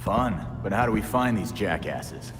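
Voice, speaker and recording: Deep, gruff American vigilante. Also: male voice, expressive, measured pace, fairly bright tone. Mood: sardonic. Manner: gruff, sardonic, blunt. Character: gruff, cynical young male vigilante, sardonic and crude. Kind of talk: video game cutscene acting. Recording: long reverb, music behind.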